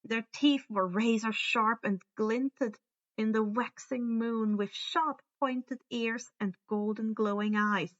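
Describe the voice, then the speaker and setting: A woman's voice: a little high, steady tone, slow, dull audio. A woman narrating a story aloud, now and then stumbling and rereading words. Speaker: fan fiction reader. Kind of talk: story narration with character dialogue.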